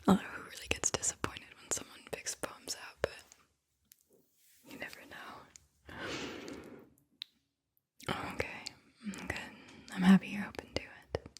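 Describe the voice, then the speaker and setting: A woman doing soft-spoken, breathy ASMR, whispering in a soothing, intimate way as she talks and reads poetry, lingering on words. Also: unhurried, long pauses. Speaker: ASMR host and poetry reader.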